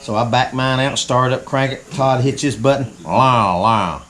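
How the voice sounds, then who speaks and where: A man's voice: mid-range voice, measured pace, fairly bright tone. A man telling a long folksy story in casual chat, acting out what people said. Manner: folksy, acts out quotes. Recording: crowd noise behind.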